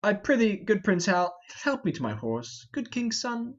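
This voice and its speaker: Brief: a man as fat old rogue knight, exclamatory and complaining, very animated delivery, Shakespearean stage reading, dull lo-fi recording. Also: high-pitched, normal speed. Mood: blustering. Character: blustering, grumbling, fat older thief and knight.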